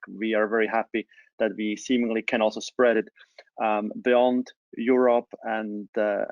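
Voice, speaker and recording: Man, mid-pitched, halting webinar presenter, close and dry on lo-fi call audio. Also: no room sound. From Switzerland. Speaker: change management consultant, webinar presenter. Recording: dull, lo-fi online call audio.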